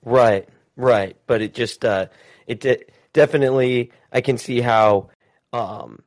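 A man's voice: medium pitch, a little slow. A man hosting a casual call-in interview, friendly and supportive, backing the guest up with frequent "yeah" and "uh-huh". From United States. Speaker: interview host.

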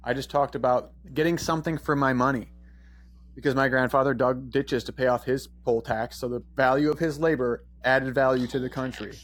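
A man's voice: medium pitch, steady tone, fairly quick. Blunt man arguing politics. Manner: blunt and combative, swears freely. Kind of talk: casual political debate.

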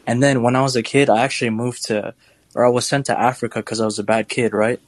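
A man's voice: mid-range voice, level tone, quick pace, no room sound. A young man calling in to a podcast, telling a rambling story and asking questions in a chatty, casual way. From United States. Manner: rambling, chatty, laughs while telling stories. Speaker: caller.

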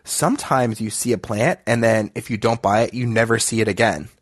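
A man's voice: on the low side, steady tone, brisk, no room sound, studio quality. A man hosting a casual podcast chat, friendly and chatty, his voice a little nasal from allergies. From Florida. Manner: friendly, chatty, with fillers and restarts. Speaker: podcast host.

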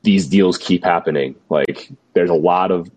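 Deep-voiced man, slightly hoarse co-host, casual and skeptical. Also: a little slow, a little mellow. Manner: skeptical, musing aloud. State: slightly losing his voice, sick. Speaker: podcast co-host. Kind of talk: casual chat.

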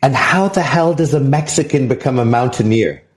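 A young man hosting a casual podcast interview, talking haltingly with many fillers and restarts. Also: mid-range voice. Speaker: podcast host.

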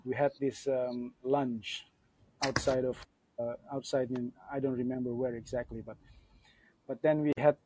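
A man's voice: mid-range voice, little pitch movement, normal speed, mellow, a little hiss or hum, poor audio. A man with an Indonesian accent, a podcast guest, telling a personal story in a friendly, chatty way full of fillers. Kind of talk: casual storytelling.

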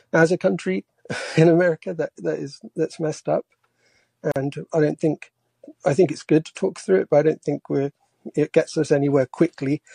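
Quiet, hesitant man with a creaky voice. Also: fairly high pitch, no echo. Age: 40s. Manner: hesitant, halting. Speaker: caller. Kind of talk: casual political talk.

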